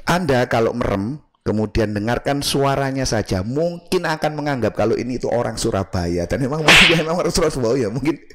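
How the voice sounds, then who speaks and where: A man's voice: mid-range voice, animated, a little fast, bright, forceful tone. A man hosting a casual commentary, chatty with laughing asides. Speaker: commentary host.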